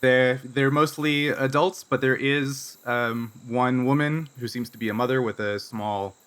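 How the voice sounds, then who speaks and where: A man's voice: medium pitch, steady tone, unhurried, fairly bright tone, clear, resonant tone. A man improvising narration as game master of a tabletop game in a conversational, explanatory way.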